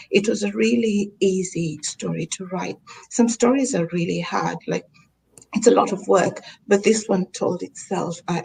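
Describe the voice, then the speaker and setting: A woman's voice: fairly low pitch, vocal fry, darkish tone, slight background noise, poor audio. A woman author on a panel, speaking earnestly and reflectively over a video call. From Tanzania, raised in Kenya.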